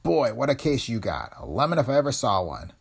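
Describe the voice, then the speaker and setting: A man voicing a smug male police lieutenant who talks in a gruff, mocking way. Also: medium pitch, big pitch swings, a little fast, pitched above the performer's own voice, exaggerated voice.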